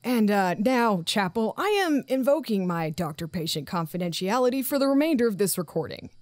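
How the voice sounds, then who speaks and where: A woman's voice: medium pitch, expressive, a little fast, no room sound, clean studio sound, lightly acted. An actress playing an anxious, dutiful site director in an audio drama, formal but faltering and apologetic as she reports. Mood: nervous, confessing. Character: anxious, dutiful female acting site director, self-doubting.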